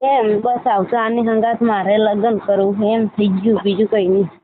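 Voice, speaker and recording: A woman's voice: mid-range voice, level tone, normal speed, a little hiss or hum. A woman chatting casually on a phone call in short, insistent replies. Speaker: caller, admirer. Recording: tinny narrow-band phone line.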